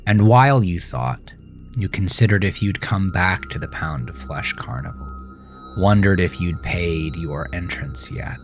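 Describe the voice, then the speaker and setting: Deep, slow male horror narrator. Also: soft background music. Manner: grave, deliberate, suspenseful. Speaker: author and narrator of horror podcast. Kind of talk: dramatic second-person horror story narration.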